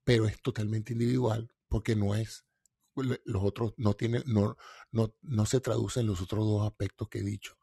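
A man's voice: lowish voice, flat delivery, normal speed, vocal fry, mellow, breathy edge, no echo, clean studio sound. A middle-aged man who hosts a science talk, explaining ideas patiently with everyday examples.

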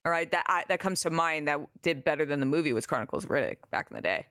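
Sarcastic, laughing gaming co-host. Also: male voice, a little high, lively intonation, sharp tone, no echo, clean studio sound. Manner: sarcastic, laughs often, halting restarts. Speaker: podcast co-host. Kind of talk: casual chat.

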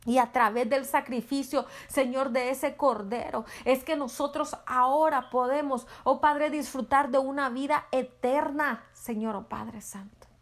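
A woman leading a fervent spoken prayer with rhythmic repeated invocations, at times dropping to a soft near-whisper. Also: high-pitched, steady tone, a little slow, hardly any pauses. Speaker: prayer leader.

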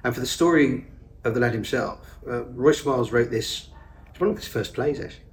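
A man, a stage actor, talking eagerly and quickly about his show in an interview. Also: mid-range voice, slight background noise. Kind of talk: interview chat. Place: theatre above a pub. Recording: distant, off-mic.

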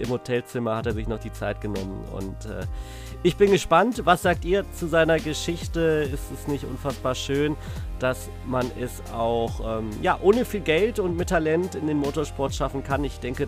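Eager podcast host man with a cold. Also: mid-range voice. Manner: eager, thankful, addresses listeners directly. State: has a cold. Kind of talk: podcast interview and outro.

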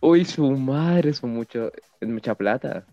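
A man's voice: medium pitch, lively intonation, slow, dry recording, dull audio. A man from Colombia with a Colombian Spanish accent, chatting casually and often repeating his phrases. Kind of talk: casual group chat. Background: music.

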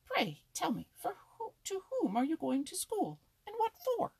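A woman's voice: extremely high pitch, huge pitch swings, measured pace, vocal fry, a little mellow, no room sound, voice pushed up, heavily acted. A woman voicing an indignant, mocking know-it-all male gray squirrel in a storybook, with boastful, scoffing exclamations.

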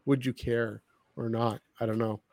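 A man's voice: mid-range voice, flat delivery, normal speed, darkish tone, dry recording. A man chatting casually as a podcast co-host, thinking aloud and hedging as he goes. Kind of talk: casual podcast chat about books.

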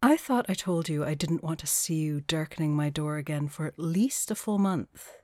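A woman voicing a stern, exasperated witch with a flat, clipped, sardonic delivery in an audio drama. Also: deep voice, normal speed, dry recording, studio quality, close to an everyday voice. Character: stern, exasperated female witch, dry and unyielding. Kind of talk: multivoice podfic audio drama acting.

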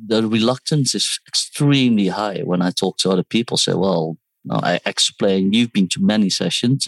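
Man, guest, low voice, gravelly, dry sound, thoughtful, restarts words. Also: dry recording, poor audio. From born in South Korea, raised in the Netherlands. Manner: thoughtful, restarts words, quotes others in asides. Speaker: guest, startup founder and design teacher. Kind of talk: conversational interview.

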